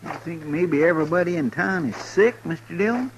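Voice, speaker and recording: A man's voice: a little high, expressive, a little fast. A man playing a folksy, deferential deputy sidekick with a rural Western American drawl, hesitant and puzzled, in an old radio western. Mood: uneasy. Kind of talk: 1950s radio western acting. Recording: noisy vintage radio recording.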